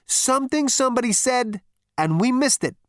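A man voicing a brash, excitable alien hipster in an audiobook, cocky, slangy and full of exclamation. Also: very high-pitched, moderate pace, no echo, studio quality, pitched above the performer's own voice. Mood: cocky, impatient. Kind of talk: audiobook character voice.

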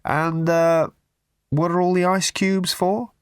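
A man's voice: sits high, little pitch movement, takes it slowly, smooth, no echo, studio quality, pitched above the performer's own voice. A man voicing a placid, politely puzzled ordinary Englishman with an understated manner in an audiobook.

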